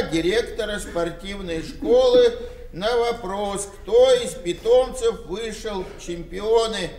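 An older man acting a meek, plain-spoken, slightly muddled old village father in a Soviet radio play, with halting delivery. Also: high voice. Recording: roomy, distant, faintly noisy radio-play recording.

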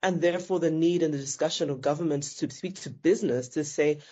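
A woman's voice: low voice, fast talker, warm tone. A woman from Zambia, a business founder answering interview questions thoughtfully and often restarting her sentences. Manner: thoughtful, self-correcting, with frequent restarts.

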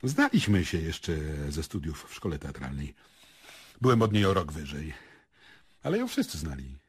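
An actor playing a wry, easygoing, worldly stage and film performer in a radio drama. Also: male voice, very deep voice, huge pitch swings, normal speed, husky, exaggerated voice. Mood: reflective. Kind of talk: Polish radio drama acting.

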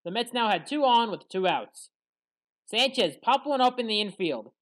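High-pitched woman doing sports commentary. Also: lively intonation, moderate pace, fairly bright tone. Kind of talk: scripted play-by-play highlight commentary. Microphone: voice-over.

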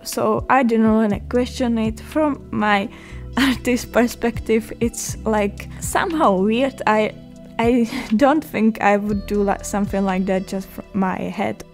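A young woman from the Czech Republic with a Czech accent, narrating her art vlog in a halting way, with many fillers and restarts. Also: fairly high pitch, fairly even intonation, soft background music. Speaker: art vlogger. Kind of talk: voice-over narration.

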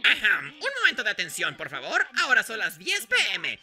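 A man's voice: high-pitched, wildly swooping pitch, brisk, bright, forceful tone, soft background music, voice pushed up, exaggerated voice. A man voicing a mischievous robot bear game mascot in a theatrical, mock-polite manner. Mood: mock cheerful.

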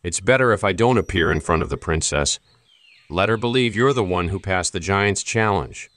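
A man's voice: sits low, normal speed, a bit bright, dry recording. A man voicing a strong, confident young hero in a cartoon fairy tale.

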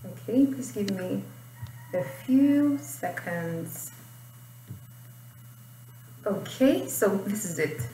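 A woman's voice: medium pitch, lively intonation, drawn-out words, long pauses, mellow. Young nigerian lady giving an informal lesson, echoey room, bit of background hiss. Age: young adult. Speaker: IELTS writing teacher. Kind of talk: informal tutorial, explaining and reading aloud. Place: small indoor room. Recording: roomy, distant mic, faint noise.

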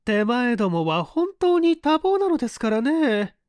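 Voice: medium pitch, very animated, a little slow, darkish tone, no echo, voice pushed up. A narrator voicing a haughty middle-aged woman dressmaker who speaks in an affected, fussy, polite way. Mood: huffy, haughty.

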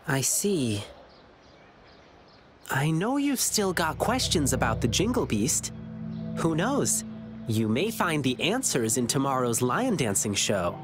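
A young lion-boy from a video game, kindly and earnest. His pitch moves freely as he reassures with wisdom beyond his years. Clear music plays under the dialogue. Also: big pitch swings, takes it slowly, pauses often. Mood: friendly, thoughtful.

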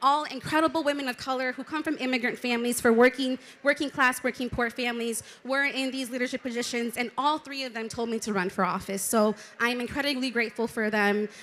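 Young councilwoman, bright high-ish voice, eager storyteller, handheld mic on stage. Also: fairly even intonation, fast talker, hardly any pauses. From Mexico, raised in Detroit. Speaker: city council member. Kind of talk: panel discussion answers. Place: conference stage, indoors.

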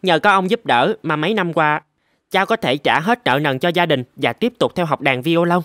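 A man's voice: fairly high pitch, moderate pace, fairly bright tone, no echo, clean studio sound, natural voice. A man voicing a humble young violinist in a cartoon, with a polite and earnest manner. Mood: grateful. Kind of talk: animated cartoon dialogue.

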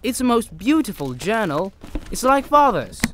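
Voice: medium pitch, very animated, normal speed, fairly bright tone, a little hiss or hum. An actor playing an earnest, polite fifteen-year-old farm boy of the 1830s in a family audio drama. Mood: excited, grateful. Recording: music behind the voice.

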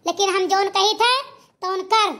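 A woman voicing an angry spirit possessing a village woman, booming and commanding, in a cartoon. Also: fairly even intonation, moderate pace, pushed and bright. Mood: wrathful. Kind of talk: cartoon voice acting.

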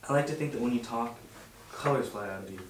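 A young man reading the part of a lovesick teenage boy, earnest and halting, in a web series script. The sound is distant and echoey, with noticeable noise in the room. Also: medium pitch, lightly acted. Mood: tender. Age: young adult. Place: home dining room around a table. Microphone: far camera. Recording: roomy, distant mic, clear background noise.

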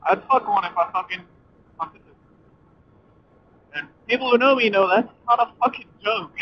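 A young man chatting casually and excitably as a motorcycle vlogger, swearing and using slang as he rambles while riding. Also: high-pitched, a little slow. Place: outdoors, riding a motorcycle at night. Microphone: helmet communicator mic inside helmet. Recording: muffled helmet intercom mic, clear background noise.

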